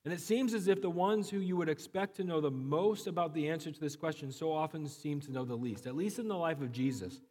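A man preaching a sermon while he has a cold. His voice is low and flat, and he talks conversationally with many fillers and restarts. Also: brisk, few pauses, in a large hall. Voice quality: low, monotone voice. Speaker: pastor.

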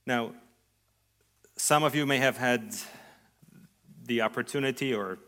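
A man preaching a sermon in an earnest, measured way, his voice rising on key phrases and trailing off softly at the ends of thoughts. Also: medium pitch. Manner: earnest, measured preaching with sweeping rises and soft trailing endings. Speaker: pastor.

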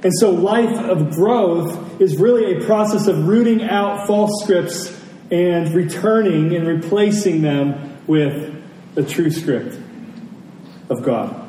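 A man preaching a sermon in a deliberate, emphatic way, with dramatic pauses between phrases. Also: high voice, warm tone. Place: church service, speaking to congregation. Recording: roomy echo, distant mic, faint noise.

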